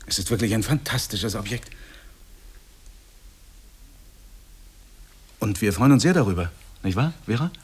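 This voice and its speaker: Animated, husky, smug husband. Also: male voice, on the low side, quick pace. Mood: self-satisfied. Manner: effusive, insistent, lecturing. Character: Michael, smug, preachy husband proud of his home.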